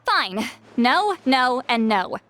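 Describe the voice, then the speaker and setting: A woman's voice: fairly high pitch, big pitch swings, slow pace, fairly bright tone, faint music behind, exaggerated voice. An actress playing a proud, prickly, ageless shaman woman in a video game, haughty, curt and sarcastic. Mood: curt, irritated. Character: prickly, proud centuries-old female shaman who sounds youthful.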